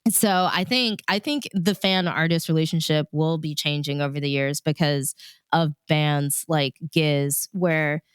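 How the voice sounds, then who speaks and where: An American woman chatting casually in an interview, animated and informal, peppering her talk with "like" and "you know". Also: sits low, measured pace, smooth, dry recording, studio quality. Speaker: radio DJ and music supervisor, interview guest.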